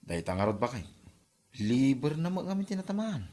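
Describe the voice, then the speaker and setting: A man's voice: mid-range voice, big pitch swings, normal speed, darkish tone, close to an everyday voice. A man playing a practical, agreeable married man with an Ilocano accent, chatting in a conversational drama. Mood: earnest, chatty.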